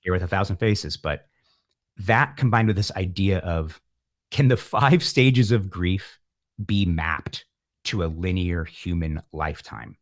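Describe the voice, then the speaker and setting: Low-voiced, reflective middle-aged man. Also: animated, measured pace. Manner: philosophical, wry, thinking aloud. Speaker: musician, podcast guest. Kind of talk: reflective interview talk.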